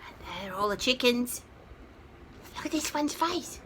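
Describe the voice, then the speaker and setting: A woman reads a children's story in a high, very lively voice. She lingers on key words and chats to her listeners between lines. There is some noise behind her on the phone recording. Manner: drawn-out emphasis, questions to listeners. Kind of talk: animated read-aloud for young children with chatty asides. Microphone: phone held nearby.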